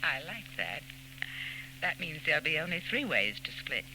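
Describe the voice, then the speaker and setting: A woman's voice: low-pitched, very animated, normal speed. An actress playing a composed, condescending society woman who secretly runs robberies, in 1940s radio style. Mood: calculating. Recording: scratchy 1940s radio recording with hum.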